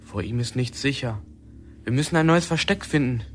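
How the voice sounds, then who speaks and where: A man's voice: medium pitch, lively intonation, moderate pace, slight background noise, close to an everyday voice. A male performer playing an anxious, stubborn young boy in a German radio drama, urgent and pleading.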